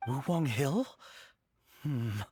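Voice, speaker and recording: A man's voice: mid-range voice, lively intonation, drawn-out words, mellow, husky, dry recording, full character voice. An actor playing a troubled, earnest young man in a video game, speaking with an uneasy manner. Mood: pensive. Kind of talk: English video game voice acting.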